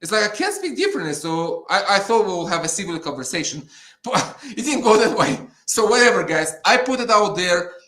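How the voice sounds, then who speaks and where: This is an animated, high-voiced man with an Eastern European accent in a roomy, echoing space. He speaks in a fired-up conversational rant. He is blunt, swears freely and repeats his points for emphasis. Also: brisk. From Ukraine, former Soviet Union. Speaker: guest, petition campaigner.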